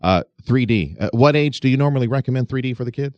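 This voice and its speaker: Male radio host in a studio; playful, teasing, lively pitch swings. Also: quick pace, dry recording, dull audio. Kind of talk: joking radio banter.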